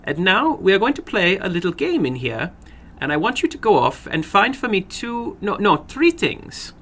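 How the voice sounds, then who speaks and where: A man voicing an eager, animated little foreign gambler in halting, broken English for a dramatic horror story reading. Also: high voice, voice pushed up, exaggerated voice. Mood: busy, commanding.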